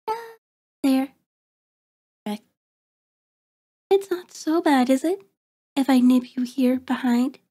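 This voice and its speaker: A woman playing a seductive, predatory vampire in an ASMR roleplay, soft and breathy with a coaxing, commanding manner, often slipping into a whisper. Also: fairly high pitch. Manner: slow, deliberate, coaxing, controlling.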